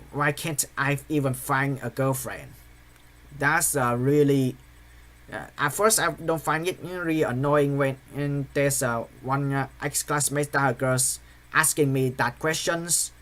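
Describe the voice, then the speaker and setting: Young man, Vietnamese accent, halting, mid-pitched, soft and apologetic confession. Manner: halting, hesitant, many fillers and restarts. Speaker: channel host.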